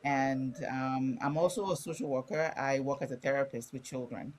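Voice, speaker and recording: A woman's voice: extremely low pitch, moderate pace, darkish tone. A middle-aged woman from Nigeria with a Nigerian accent, speaking candidly as a guest author and explaining things in a conversational way. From Ibadan, Nigeria. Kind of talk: conversational interview.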